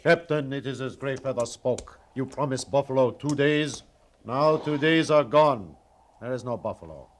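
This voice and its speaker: A man's voice: mid-range voice, moderate pace, lightly acted. A man playing a stern, impatient leader in an old-time radio western, speaking solemn, terse broken English. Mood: stern accusation. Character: stern, impatient Arapaho leader, distrustful of soldiers. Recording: noisy old radio recording with clicks.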